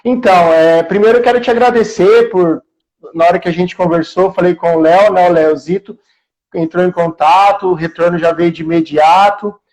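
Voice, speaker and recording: Male live-show host, high-pitched, inland São Paulo Brazilian accent, informal and friendly chat. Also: steady tone, a little fast, a little mellow, poor audio. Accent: Brazilian, São Paulo interior. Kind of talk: casual live interview chat. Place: remote video call livestream.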